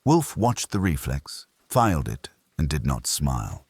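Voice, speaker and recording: A man reading a first-person detective story as a narrator, in a dry, measured way with a raspy, gravelly voice. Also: extremely low pitch, huge pitch swings, a little mellow, no room sound. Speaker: audiobook narrator.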